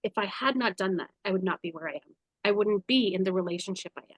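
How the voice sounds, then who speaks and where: A woman in her forties telling personal stories candidly in conversation, animated and blunt, often acting out her own inner dialogue. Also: medium pitch, fast, dry recording. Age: 40s. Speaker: guest, spiritual guide.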